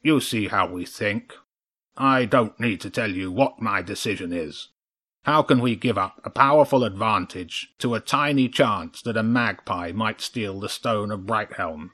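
A man's voice: fairly low pitch, unhurried, sharp tone. A man voicing a stern, cold chairman crow in a children's audiobook, curt and authoritative. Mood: commanding.

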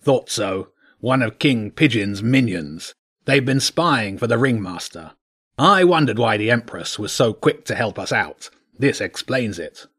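Gruff badger monarch for a children's audiobook, performed by a man: husky, imperious, terse, highly animated delivery. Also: unhurried. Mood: calmer, brisk. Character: gruff, commanding old badger king, menacing. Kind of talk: audiobook character voice.

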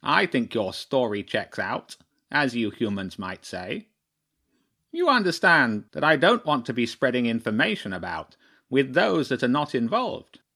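A man's voice: mid-range voice, big pitch swings, measured pace, sharp tone, full character voice. A man voicing a stern, shrewd old crow chairman in a storybook, speaking formally and pompously. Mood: shrewd, measured. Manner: pompous, clipped, formal pronouncements.

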